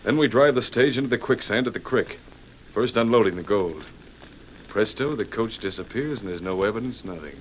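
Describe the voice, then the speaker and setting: Man doing a cocky outlaw gang leader, lowish voice, slangy, hissy old radio western. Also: animated, a little fast, lightly acted. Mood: smug scheming. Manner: slangy, smug, commanding. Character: cocky, scheming male outlaw gang boss. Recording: noisy vintage radio recording.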